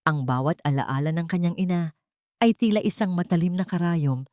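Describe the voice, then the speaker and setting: Low-voiced Filipino woman narrating dramatic stories. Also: normal speed, a little mellow, dry recording, studio quality. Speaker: audiobook narrator. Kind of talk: dramatic scripted story narration.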